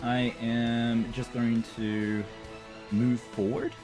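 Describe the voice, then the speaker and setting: A man's voice: medium pitch, little pitch movement, very slow. A man playing a tabletop role-playing game, casually describing his character's moves and hesitantly thinking aloud. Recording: clear music behind the voice.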